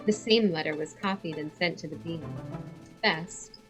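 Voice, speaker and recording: A woman's voice: lowish voice, normal speed, faint music behind, natural voice. A woman playing a haughty, formal fashion-snob former sorority president in a dramatic reading, with a poised, imperious manner. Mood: composed, formal.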